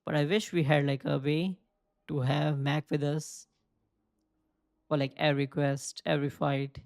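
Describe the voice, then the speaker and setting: Gravelly young man rambling over gameplay. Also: a little high, flat delivery, a little slow, no echo, studio quality. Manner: rambling, thinks aloud with many "like" fillers. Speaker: gaming video creator. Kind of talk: casual let's play commentary.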